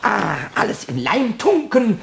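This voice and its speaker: A mischievous little kobold with a high, squeaky, giggly voice. He swoops all over his range in a slow, stammering, childish way. It is a vivid radio play character. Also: very animated, gravelly, full character voice. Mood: frantic. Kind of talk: 1960s radio play acting.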